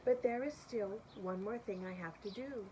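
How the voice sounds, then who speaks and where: A woman's voice: medium pitch, normal speed, dark tone, slight background noise, close to an everyday voice, rough recording. A woman reading as an earnest, delighted older storybook heroine. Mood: resolved. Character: gentle, hopeful older woman who loves flowers, delighted storybook heroine.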